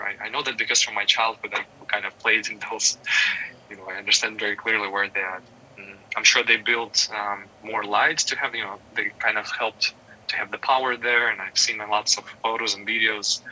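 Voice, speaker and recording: A man's voice: lowish voice, pushed and bright, a little hiss or hum, rough recording. A man in his thirties from Ukraine, an interview guest explaining things earnestly and in detail, often saying "you know". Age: 30s. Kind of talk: recorded interview.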